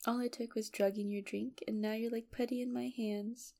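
A woman playing a sweetly menacing, possessive kidnapper in an intimate audio roleplay, speaking softly with breathy whispers and a coaxing, condescending affection. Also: on the high side, flat delivery, normal speed. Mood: adoring, possessive. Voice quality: soft, often dropping into breathy whispers.

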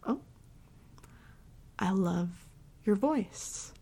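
Casting: actress as devoted, comforting girlfriend for ASMR roleplay; soft mellow breathy voice with fry, often whispered, unhurried and loving. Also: mid-range voice, lively intonation, heavily acted. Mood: adoring. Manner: soft, soothing, slow and affectionate. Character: tender, devoted girlfriend and mommy dom comforting her partner.

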